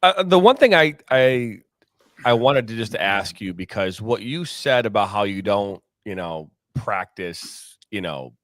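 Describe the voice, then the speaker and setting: A man co-hosting a podcast, asking a question in a halting, careful way. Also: lowish voice, animated, no room sound. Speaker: podcast co-host. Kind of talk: casual podcast chat.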